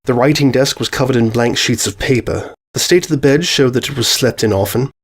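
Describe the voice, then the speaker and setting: Guy narrating a story off a script, middle-pitched voice. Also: a little fast.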